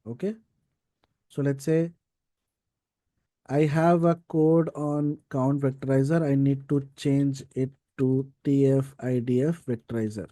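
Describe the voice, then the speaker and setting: A man's voice: mid-range voice, fairly even intonation, a little slow, warm tone. A man teaching an informal live coding class, explaining step by step and checking in with short tags like right and okay. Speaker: data science instructor.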